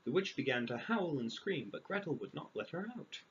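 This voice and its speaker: A man reading a fairy tale aloud, breaking in with dry, sarcastic deadpan asides. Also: fairly high pitch, a little fast. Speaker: storyteller. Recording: dull lo-fi audio, clear background noise.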